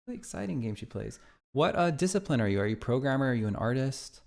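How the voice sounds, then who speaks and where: A man's voice: medium pitch, big pitch swings, quick pace, gravelly, darkish tone. A man streaming his game development live, chatting casually, thinking aloud and reacting to viewer chat.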